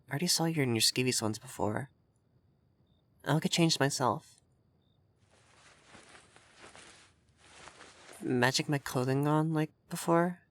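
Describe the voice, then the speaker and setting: A man's voice: a little high, a bit bright, no room sound. A man playing a young effeminate vampire mage in roleplay ASMR, vain and refined, coy and easily flustered in an intimate delivery. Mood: soft, fond.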